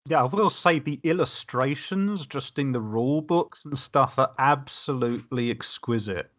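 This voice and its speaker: Mid-pitched man in casual conversation. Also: normal speed, a bit bright. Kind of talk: casual podcast discussion.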